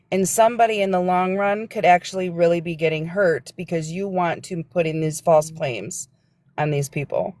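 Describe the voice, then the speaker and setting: A woman's voice: lowish voice, a little mellow, dry recording. A woman, a guest on a livestream, speaking earnestly and lecturing, sometimes searching for her words. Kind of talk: casual livestream chat.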